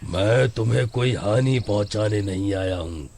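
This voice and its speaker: A man's voice: lowish voice, unhurried, creaky, a little mellow, a little hiss or hum. A man voicing a gloating demon in a horror storybook tale, menacing and mocking. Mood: gloating menace. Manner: menacing, mocking, breaking into laughs. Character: gloating male demon lurking in a banyan tree. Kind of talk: Hindi horror cartoon story voice acting.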